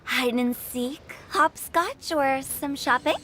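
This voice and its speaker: A woman's voice: high-pitched, slow, exaggerated voice. An actress playing a bold, nosy, playful teenage girl in a video game, teasing and theatrical. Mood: playful, dismissive. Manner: teasing, inquisitive, expressive. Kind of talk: video game character dialogue.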